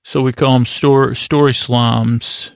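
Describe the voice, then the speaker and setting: Gravelly, rambling man, dry close recording. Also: mid-range voice, steady tone, dry recording. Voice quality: gravelly, creaky. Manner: halting and digressive, trailing off and circling back on words. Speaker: host of a bedtime story podcast. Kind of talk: rambling, meandering solo podcast monologue meant to lull listeners to sleep.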